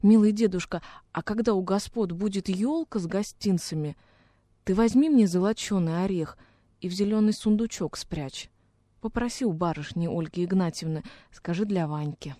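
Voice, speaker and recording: A woman's voice: mid-range voice, moderate pace, mellow, no room sound, studio quality, lightly acted. A woman playing a homesick young peasant orphan boy in a radio drama, plaintive and naive, with rustic folk speech. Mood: hopeful. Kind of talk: dramatic radio reading of a classic story.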